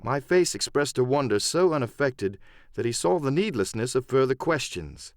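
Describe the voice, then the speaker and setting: A man narrates an audiobook in a dramatic storytelling style. His mid-pitched voice moves expressively up and down, and the recording is close and dry. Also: lively intonation, normal speed, dry recording. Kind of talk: dramatic scripted first-person narration.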